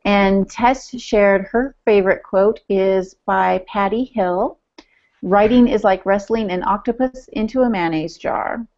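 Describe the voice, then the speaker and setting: Woman, mid-pitched, playful teasing co-host, casual chat, dull lo-fi recording. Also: a little slow, darkish tone. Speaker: podcast co-host relaying listener chat.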